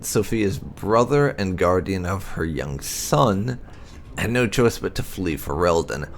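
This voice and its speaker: A man narrating fantasy game lore aloud, with deliberate, dramatic emphasis as a video host. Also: fairly low pitch, gravelly, a little hiss or hum.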